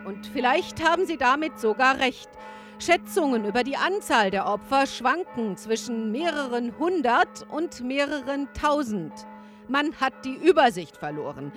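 An actress playing a grave field reporter in a radio play, delivering a solemn, dramatic news report on a catastrophe. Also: female voice, sits high, a bit bright.

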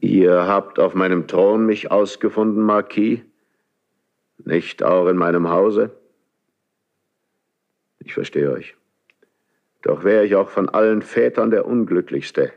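A deep-voiced, brooding, commanding king. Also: gravelly, dull audio, heavily acted. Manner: measured, weighty stage declamation with pauses. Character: proud, lonely, mistrustful aging king, commanding and brooding. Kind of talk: 1970s German radio play, classical verse acting.

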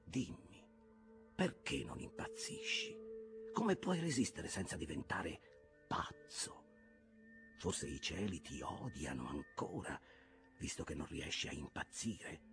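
A grim, obsessed old sea captain, put on by a male audiobook reader. The voice sits deep and swings widely in pitch, dropping to breathy whispers and then rising into forceful commands. Soft music plays faintly underneath. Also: wildly swooping pitch, takes it slowly, pauses often, full character voice. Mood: brooding. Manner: intense, shifting between whispers and loud outbursts.

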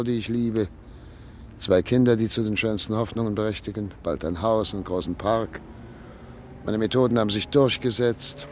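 An actor playing a 39-year-old professor and chief physician in a period radio drama, speaking in a wistful, reflective, quietly anxious way. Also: male voice, fairly low pitch, slight background noise, close to an everyday voice. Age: 30s. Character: 39-year-old doctor, proud yet uneasy.

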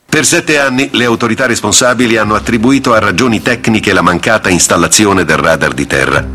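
A man's voice: fairly low pitch, brisk, pushed and bright. A man reading scripted documentary narration.

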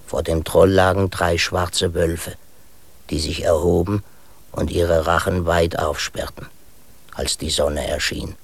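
An actor playing an old male Sami herdsman who tells a tall tale in a German radio play, speaking slowly with a gravelly voice. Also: deep voice, no echo, heavily acted. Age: older. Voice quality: slow gravelly delivery. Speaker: storyteller within the play.

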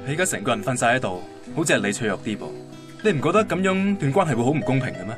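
Casting: male actor, blunt Hong Kong best friend, Cantonese radio drama, mid-pitched, brisk, dry teasing. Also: very animated, close to an everyday voice. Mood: blunt scolding. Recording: music under the voice.

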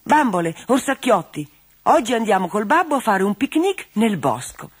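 A woman's voice: medium pitch, big pitch swings, normal speed, husky, pitched above the performer's own voice. A woman voicing a caring young girl who calls out and coaxes in a children's story. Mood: excited. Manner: bright, coaxing, emphatic.

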